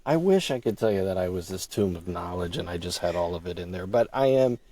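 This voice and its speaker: Mellow, low-voiced man chatting as a guest. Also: lively intonation, brisk. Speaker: podcast guest, audio drama creator and writer. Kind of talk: casual interview chat.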